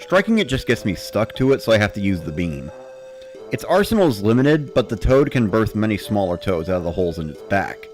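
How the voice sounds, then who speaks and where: A man's voice: lowish voice, sing-song, fairly quick, a little mellow, soft background music. A man reading a first-person story as a dramatic narrator, in the style of a gamer recounting play.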